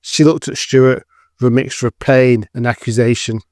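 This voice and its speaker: A man's voice: mid-range voice, fairly even intonation, moderate pace, darkish tone, no room sound, studio quality. A man giving scripted dramatic narration for an audio drama.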